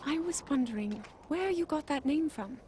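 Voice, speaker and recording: A woman playing an earnest, gentle young princess with formal, courteous speech in a game cutscene. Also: high-pitched, expressive, normal speed, slight background noise. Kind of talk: dramatic game cutscene acting.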